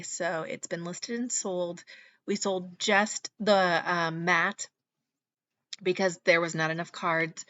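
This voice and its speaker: Slightly hoarse woman, chatty reseller. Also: on the low side, fairly bright tone, lo-fi sound. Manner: chatty, practical, with frequent ums. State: slightly hoarse after illness. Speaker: channel host, reseller. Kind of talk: casual voice-over narration. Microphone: voice-over mic.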